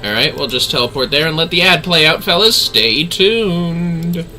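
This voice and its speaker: A man's voice: a little high, lively intonation, normal speed, sharp tone, faint music behind. A man hosting a gaming livestream with casual, easygoing, chatty talk to his viewers. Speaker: livestreaming gamer host.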